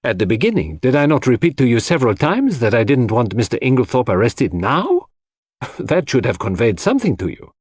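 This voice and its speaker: A man's voice: medium pitch, huge pitch swings, brisk, darkish tone, slightly rough, no room sound, studio quality. A man voicing a shrewd, self-assured foreign detective who explains in a theatrical, didactic way in an audiobook. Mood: teasing, patient. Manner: theatrical, didactic, sprinkles French phrases.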